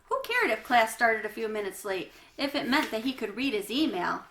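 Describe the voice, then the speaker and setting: Woman, children's storybook narrator, expressive reading, slightly high pitch, echoey room. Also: moderate pace, slight background noise. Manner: explains hard words in asides. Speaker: read-aloud storyteller. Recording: roomy, echoey room sound.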